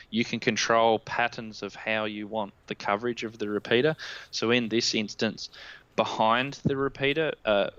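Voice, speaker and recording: Low, clear Australian man explaining. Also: a bit bright, no room sound, muffled recording. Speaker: guest expert. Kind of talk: technical explanation in a live stream. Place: indoor room at home, on a video call. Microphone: headset.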